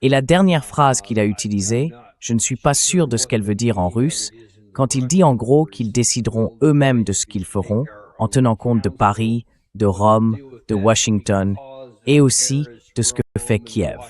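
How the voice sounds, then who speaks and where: A man's voice: mid-range voice, moderate pace, a little mellow. A synthetic male voice hosting a political interview, reading a translated dub as spoken commentary. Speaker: interview host. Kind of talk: political talk show commentary, dubbed translation.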